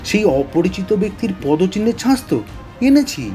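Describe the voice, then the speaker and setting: A man playing an excitable, easily baffled police inspector in an audio detective drama, speaking in exclamations. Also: sits high, moderate pace, soft background music. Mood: matter-of-fact. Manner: exclamatory, astonished.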